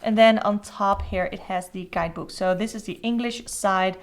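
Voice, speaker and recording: A woman with a Dutch accent hosts a relaxed flip-through of a tarot deck, speaking English as her second language. She chats in a loose, rambling way, with fillers and restarts as she goes. Her voice sits at a middle pitch. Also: moderate pace. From Netherlands. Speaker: tarot channel host.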